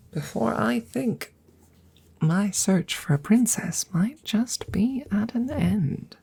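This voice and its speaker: A woman voicing a courteous, smitten female dragon in a hesitant, formal ASMR roleplay, whispering softly and breathily close to the microphone. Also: on the low side, gravelly, a little hiss or hum. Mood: earnest, probing. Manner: hesitant, formal, old-fashioned phrasing with murmured repetitions.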